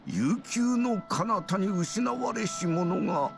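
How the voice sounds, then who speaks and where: A learned old man from a fantasy game, grave and archaic. His voice is slightly high and swings expressively, unhurried, over clear music. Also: expressive, full character voice. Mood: solemn recitation. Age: older. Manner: solemn, measured, archaic old-man speech. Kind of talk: video game voice acting.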